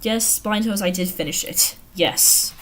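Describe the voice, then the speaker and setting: A woman's voice: medium pitch, measured pace, a bit bright. Chatty American woman, noisy livestream audio. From the Carolinas, United States. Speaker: livestream host. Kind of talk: casual livestream chat, reading viewer comments aloud.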